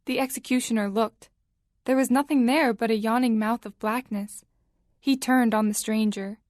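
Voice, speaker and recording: A woman narrating a scripted story for audio drama in a measured, suspenseful storytelling manner. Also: on the high side, fairly even intonation, a little mellow, no room sound, studio quality.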